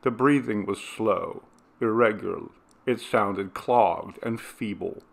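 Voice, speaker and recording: A male narrator reads a ghost story aloud in a measured, hushed manner. His low voice has a gravelly texture, and he paces every line very slowly. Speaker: audiobook narrator.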